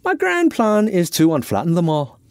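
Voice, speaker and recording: An eccentric ghost of an Irishman, acted out by a male narrator for an audiobook tale. He is pitched well up and talks in wordy, blithe evasions. His temper is mischievous and unbothered, and the sound is dry and close. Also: huge pitch swings, moderate pace, darkish tone, no room sound, exaggerated voice. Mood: blithe, matter-of-fact.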